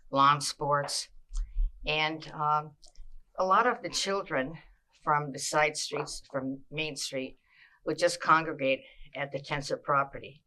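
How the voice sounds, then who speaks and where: Bright-voiced older woman reminiscing. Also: deep voice, poor audio. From Massachusetts. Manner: measured, reminiscing with frequent pauses and fillers. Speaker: interviewee. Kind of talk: oral history recollection.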